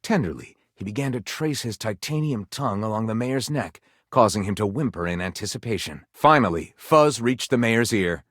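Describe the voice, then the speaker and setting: A theatrical male storyteller from a video game, spinning absurd pulp detective tales in total mock seriousness. His pitch swings widely from line to line for maximum melodrama. The recording is dry and close, with no room around the voice. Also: expressive, moderate pace, few pauses, fairly bright tone, dry recording. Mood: tender melodrama. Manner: dramatic, mock-serious delivery with big swings. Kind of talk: theatrical scripted game narration.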